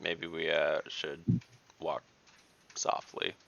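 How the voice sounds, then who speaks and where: A man playing a tabletop game online, casually thinking aloud in a deliberate, hesitant way. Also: rumbling low, monotone, creaky, sharp tone, dull audio.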